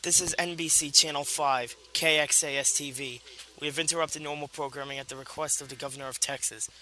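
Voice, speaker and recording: Bright, forceful male station announcer. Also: fairly high pitch, steady tone, a little fast, a little hiss or hum, low-quality recording. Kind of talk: scripted station interruption bulletin.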